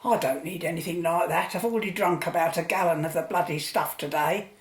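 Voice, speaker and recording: A woman's voice: lowish voice, fairly quick, reverberant, close to an everyday voice. A British woman playing a cranky, complaining little old saleswoman in a radio play, blunt and grumbling.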